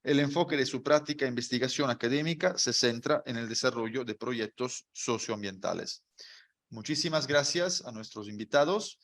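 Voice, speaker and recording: Male moderator of middle pitch, reading a formal guest introduction. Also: moderate pace, fairly bright tone. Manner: formal, reads speaker bio. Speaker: conference moderator.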